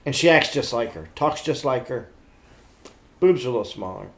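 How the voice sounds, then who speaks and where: A middle-aged man co-hosting a podcast, telling stories about pop culture in a blunt, animated, slightly crude casual way. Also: medium pitch, moderate pace, creaky. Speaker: podcast co-host.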